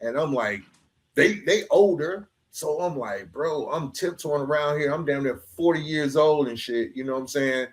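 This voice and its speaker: Slightly high-voiced middle-aged man, casual host. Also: moderate pace. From Atlanta, United States. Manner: informal, peppers talk with "bro" and "you know what I'm saying". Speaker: podcast host. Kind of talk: casual conversational interview.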